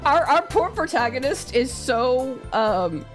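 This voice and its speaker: A woman streamer chatting casually through a game, expressive and giggly, often swearing and interrupting herself. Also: high voice, slow, faint music behind. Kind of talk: live visual novel playthrough commentary, casual chat.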